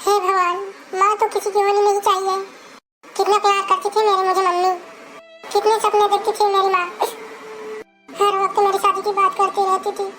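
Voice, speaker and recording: A meek young daughter-in-law, played by a woman who answers her in-laws in a soft, pleading manner. Her voice is high, and the recording is dry and close. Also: steady tone, a little fast, pauses often, no echo, poor audio. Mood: grieving. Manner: pleading, defensive, tearful. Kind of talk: family drama acting.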